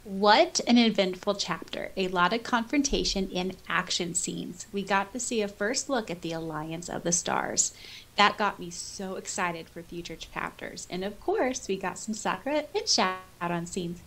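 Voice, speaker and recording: A woman co-hosting a podcast, chatting and reading comments aloud in an animated, jokey way. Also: mid-range voice, moderate pace, rough recording. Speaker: podcast co-host. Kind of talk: casual podcast chat mixed with reading listener comments aloud.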